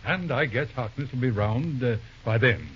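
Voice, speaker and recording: An actor playing a shrewd older American lawyer with dry, formal, courtly phrasing in an old-time radio drama. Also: male voice, medium pitch, moderate pace, a little hiss or hum, close to an everyday voice. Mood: amused, sly. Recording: dull, lo-fi old radio recording.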